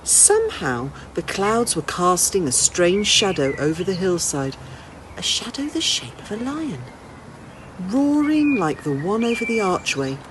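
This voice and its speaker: A woman narrates a children's story in an expressive read-aloud style. Her medium-pitched voice moves through a lively range of pitch, with faint noise in the background. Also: unhurried. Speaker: storybook reader, school staff.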